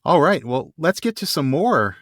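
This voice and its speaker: A man's voice: on the high side, big pitch swings, normal speed, fairly bright tone, dry recording, studio quality. A man hosting a podcast, talking through books in a casual, conversational and emphatic way. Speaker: podcast host. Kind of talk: casual literary discussion.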